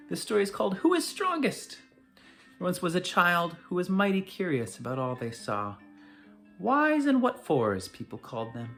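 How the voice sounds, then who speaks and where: A storyteller reading a bedtime folk tale aloud in an expressive, dramatic way. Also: mid-range voice. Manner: dramatic, animated delivery. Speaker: storyteller reading to a school community.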